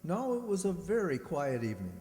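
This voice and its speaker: A man's voice: on the high side, wildly swooping pitch, a little fast, a little mellow. Echoey, deferential male robot double, acted by a man. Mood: polite, servile. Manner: formal, hesitant, servile. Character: polite, deferential robot duplicate of a man, butler-like. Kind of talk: amateur radio drama acting. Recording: echoey hall.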